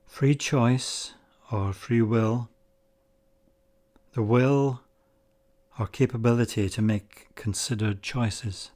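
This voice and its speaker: A man leading a guided meditation, speaking in measured, deliberate short phrases with pauses between them. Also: medium pitch, fairly even intonation, darkish tone. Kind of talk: guided meditation, slow spoken instruction.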